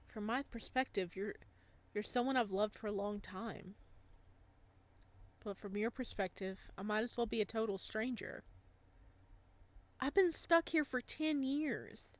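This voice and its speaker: A woman voicing a lonely, lovestruck male ghost in a visual novel, soft, hesitant and earnest. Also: fairly high pitch, unhurried, long pauses, close to an everyday voice. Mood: earnest longing.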